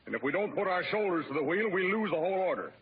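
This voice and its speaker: A domineering, humorless company boss, barking impatiently in a fairly high voice. It is old radio comedy acting, on a thin, phone-like recording. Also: male voice, sing-song, fast, crowd noise. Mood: brisk, demanding.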